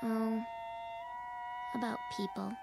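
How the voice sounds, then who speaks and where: A woman playing a calm, insistent, knowing little girl in a radio drama. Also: a little high, slow pace, vocal fry. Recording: music under the voice.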